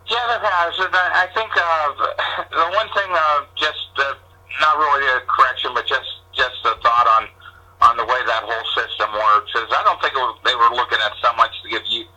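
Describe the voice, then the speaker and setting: An older man talking sports as an opinionated guest, with halting, repeated starts as he argues against other people's claims. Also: medium pitch, pushed and bright, highs cut off. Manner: halting, repetitive starts, mimics opponents' arguments. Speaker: guest, retired sports publicist.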